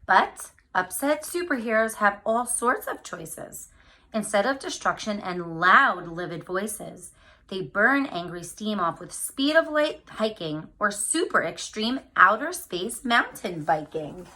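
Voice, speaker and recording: A woman with a very bright, forward voice at a medium pitch reads a picture book to young children. She addresses her listeners directly and leans on key words, stretching them out with animated storyteller expression. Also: takes it slowly. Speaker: read-aloud storyteller for children.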